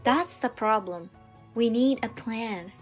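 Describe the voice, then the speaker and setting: A woman's voice: fairly high pitch, slow, faint music behind, pitched below the performer's own voice. A woman playing an earnest, wary young bride-to-be who speaks firmly and accusingly in a dramatized manga story. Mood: worried, determined.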